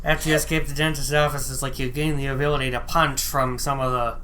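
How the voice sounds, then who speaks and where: A woman's voice: extremely low pitch, fairly even intonation, fast talker, fairly bright tone, slight background noise. A woman chatting casually as co-host of a video game podcast, with a wry, joking manner.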